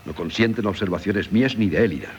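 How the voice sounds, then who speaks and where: A man's voice: fairly low pitch, fast, lightly acted. An actor playing an easygoing, resigned middle-aged father in a Castilian Spanish radio drama. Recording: noisy 1970s radio broadcast.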